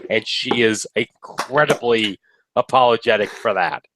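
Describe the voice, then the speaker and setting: A man's voice: mid-range voice, a little slow, background noise. A man with a cold and a nasal voice, co-hosting a casual review chat over a webcam in a laid-back, wry manner. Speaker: co-host of a review video. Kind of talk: casual banter. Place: indoor room.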